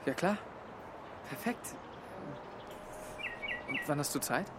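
Slow, hesitant teenage boy, played by a man. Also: fairly high pitch, lively intonation, background noise. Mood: nervous, hopeful. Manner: hesitant, halting. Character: shy, hopeful teenage skateboarder boy. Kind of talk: German audio drama dialogue.

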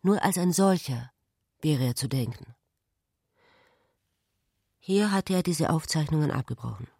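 An actor playing a quiet narrator of philosophical notes in a literary radio play, hushed and deliberate. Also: medium pitch, lively intonation, creaky, breathy edge, no echo, clean studio sound, full character voice. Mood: hushed, contemplative.

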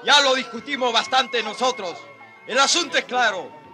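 Furious high-voiced colonial encomendero boss. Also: male voice, lively intonation, moderate pace, faint music behind, full character voice. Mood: angry, demanding. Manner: loud, imperious, demanding. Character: angry, domineering colonial Spanish encomendero leader. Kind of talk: radio drama acting.

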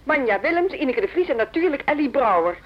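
An actress playing an indignant, long-serving music teacher, speaking formally and emphatically in a radio drama phone call. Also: female voice, sits high, sing-song, fast. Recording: slightly dull phone-call sound.

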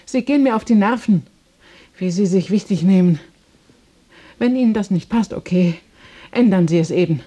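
An actress playing an exasperated, bewildered train passenger in a radio drama, querulous and insistent. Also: female voice, medium pitch, moderate pace, long pauses, warm tone, breathy edge, close to an everyday voice.

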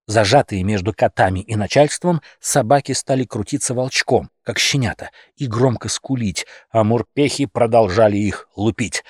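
A man narrating a humorous animal tale as an audiobook, in an expressive, theatrical storytelling manner. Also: fairly low pitch, normal speed, few pauses, husky, no room sound, studio quality.

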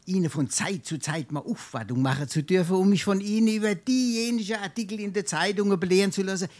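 A man's voice: high voice, fast, a little mellow, natural voice. A man playing a wily, shameless scrounger in a Darmstadt dialect stage comedy, pompous and full of flowery courtesy. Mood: unctuous flattery. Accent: Darmstadt Hessian. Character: wily, shameless male scrounger and bon vivant.

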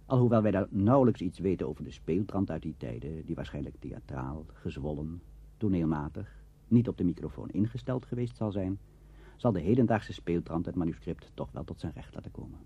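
A man's voice: low-pitched, lively intonation, a little fast, mellow, breathy edge. A man giving scripted documentary narration in a formal, lecturing manner. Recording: dull, muffled old recording.

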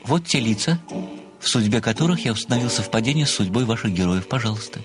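An actor playing an earnest pensioner, an amateur detective and devoted admirer, speaking with formal, deferential precision in a theatrical audio drama. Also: male voice, fairly low pitch, animated, quick pace, over music, natural voice. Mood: deferential, insistent. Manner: formal, deferential, precise, declamatory when enthused.

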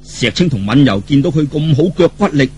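A man's voice: mid-range voice, expressive, fairly quick, darkish tone, a little hiss or hum. A man with a Cantonese accent narrating a martial arts tale in a colloquial, dramatic traditional storytelling style, with asides to the listener. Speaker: audiobook storyteller.

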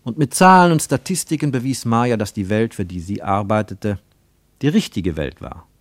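A man reads scripted literary narration for a radio drama. His voice sits on the low side and is recorded very dry, with no room sound. He phrases carefully and deliberately, leaning on key words with measured emphasis. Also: lively intonation, no echo.

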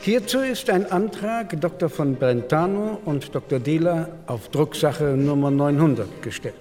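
An actor playing a formal, dryly strict parliament president, measured and procedural in a 1949 drama. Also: medium pitch, lively intonation, mellow, soft background music, lightly acted. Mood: dryly official. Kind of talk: dramatised reading of parliamentary protocol. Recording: reverberant assembly hall.

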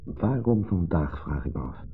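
A male actor playing an insecure, quietly jealous husband in a 1970s Dutch radio drama, hesitant, terse and probing. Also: low-pitched, animated, moderate pace, breathy edge, faint music behind. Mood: suspicious, probing. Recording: muffled old 1970s radio recording.

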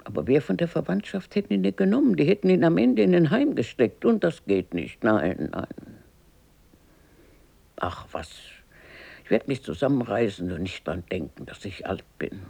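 An actress in a radio play playing a plucky, brusque but warm-hearted old grandmother, raspy and lively, muttering and exclaiming. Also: a bass voice, normal speed, heavily acted. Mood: pensive, determined. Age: older.

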